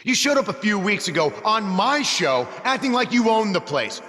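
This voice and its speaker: An actor playing a pompous, scornful wrestling villain and show host, exasperated, in a video game. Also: male voice, high voice, sing-song, normal speed, pushed and bright, full character voice. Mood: fed up. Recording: echoing arena reverb with background noise.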